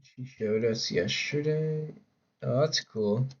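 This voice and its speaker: A man streaming a game in casual chat who rambles with fillers and restarts, his voice hoarse from talking. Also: medium pitch, slow pace, soft background music. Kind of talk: casual live-stream chat while playing. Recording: dull lo-fi mic with faint keyboard typing.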